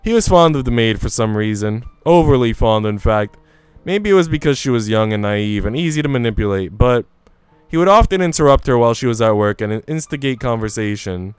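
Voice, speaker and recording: Man reading as a modest young housemaid, clear-voiced. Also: medium pitch, expressive, a little fast, dry recording, faint music behind, close to an everyday voice. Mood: wistful, self-deprecating. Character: young housemaid hesitantly telling her own story. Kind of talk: visual novel dialogue read aloud.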